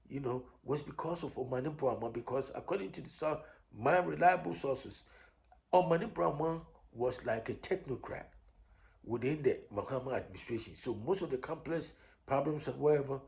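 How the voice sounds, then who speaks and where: A man's voice: fairly high pitch, vocal fry, a little mellow. A man with a Ghanaian accent giving an unscripted, combative opinion rant, repeating himself and often restarting his phrases. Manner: combative, repetitive, halting with restarts. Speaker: online commentator.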